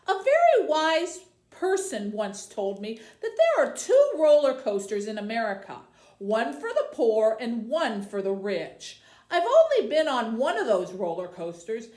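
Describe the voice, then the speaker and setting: A woman presenting and reading aloud as a librarian for tweens, in a deliberate, presentational way. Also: on the high side, huge pitch swings, a little mellow. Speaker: youth services librarian hosting a book program. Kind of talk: book talk and read-aloud for tweens.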